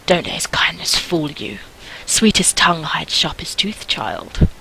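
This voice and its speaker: A woman voicing a stern, cynical mother in a visual novel, cold and cutting, in a hushed delivery. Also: fairly low pitch, expressive, a little slow, creaky, a little hiss or hum, full character voice, low-quality recording. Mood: cold warning. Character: stern, cynical mother scolding her daughter.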